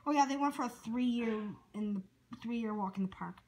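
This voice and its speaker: A woman's voice: on the high side, moderate pace, a little mellow, a little hiss or hum, natural voice, low-quality recording. A woman voicing a needy, earnest young boy who rambles dramatically in a homemade cartoon skit. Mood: rambling, earnest.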